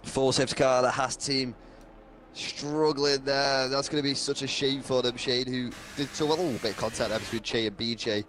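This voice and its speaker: A man giving colour commentary on a sim race, explaining calmly while his throat is dry. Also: mid-range voice, a little hiss or hum. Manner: analytical, measured explanations. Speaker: co-commentator and driver.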